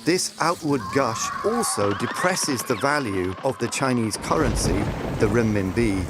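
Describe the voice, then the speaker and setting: A man's voice: mid-range voice, animated, measured pace, noisy background. A man reading a scripted explainer narration as the narrator.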